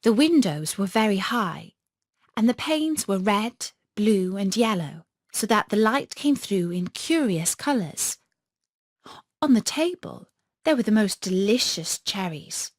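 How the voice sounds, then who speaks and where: A woman narrating a children's fairy tale as an audiobook, in an expressive storytelling manner. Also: mid-range voice, dry recording, studio quality.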